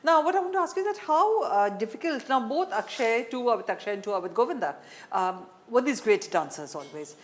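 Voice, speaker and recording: A woman's voice: fairly high pitch, animated, brisk. A woman with an Indian accent hosting a conversational interview, phrasing her questions hesitantly. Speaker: interview host.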